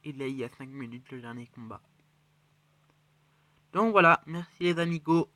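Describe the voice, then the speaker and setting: A man with a cold giving rambling, excitable commentary on his mobile game matches and chest openings. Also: medium pitch, normal speed, no echo. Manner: rambling, excitable, self-correcting, drops to mutters. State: has a cold. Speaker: mobile game channel host. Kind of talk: casual gameplay commentary.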